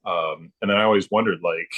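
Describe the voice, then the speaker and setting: Low husky man, halting casual talk. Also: steady tone, narrow, tinny audio. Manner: halting, rambling, many ums and repeated words. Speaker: podcast guest. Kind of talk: casual chat about hobbies.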